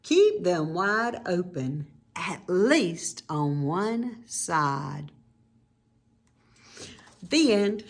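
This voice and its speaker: Woman, children's story reader, slow deliberate delivery, lively varied intonation, teacherly, expressive. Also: on the low side. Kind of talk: read-aloud storytelling for children with informal explanatory asides.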